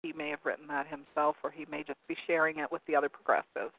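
A hoarse man with a slightly high voice hosts a call-in talk show, rambling and hunting for words as he reads from web pages. His voice comes through a narrow, phone-like line that sounds dry and close, with no room around it. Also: flat delivery, quick pace, dry recording. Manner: rambling, searching for words with many ums. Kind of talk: casual talk radio commentary, reading from web pages.

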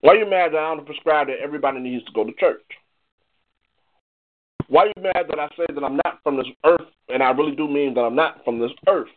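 A man's voice: fairly high pitch, brisk. A man performing spoken word poetry in an emphatic, confrontational manner full of rhetorical questions. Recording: thin, phone-like band-limited sound.

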